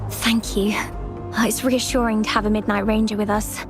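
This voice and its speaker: A woman's voice: mid-range voice, flat delivery, normal speed, close to an everyday voice. A woman voicing an earnest, polite young female researcher in a video game cutscene, explaining things carefully. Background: game music.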